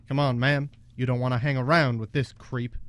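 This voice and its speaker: A man's voice: medium pitch, little pitch movement, moderate pace, a bit bright, lightly acted. A man acting a bullying, mocking toy cowboy who talks in folksy cowboy phrases in a student radio play. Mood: menacing. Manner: taunting, folksy cowboy talk. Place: college radio studio, live broadcast.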